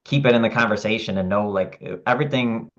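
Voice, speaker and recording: A man's voice: lowish voice, fast, a bit bright. A man co-hosting an interview, chatting casually and agreeably with lots of fillers. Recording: dull lo-fi sound.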